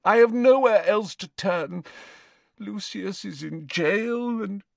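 A man's voice: slow pace, creaky, dry recording, heavily acted. Narcissa, a man voicing a weeping desperate witch. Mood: pleading. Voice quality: high, tearful, pleading. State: crying. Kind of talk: audiobook character voice.

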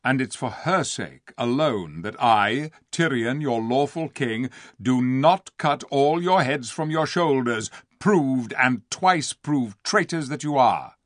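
A male reader plays a noble, stern king from a storybook. He speaks formally and archaically at a medium pitch, measured and proud, in a dry recording. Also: animated, no room sound. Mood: stern, regal. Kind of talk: dramatised storybook reading.

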